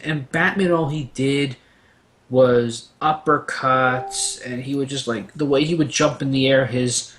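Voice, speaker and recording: A man's voice: mid-range voice, a bit bright. A man talking casually on a panel, telling long, rambling anecdotes with emphatic opinions.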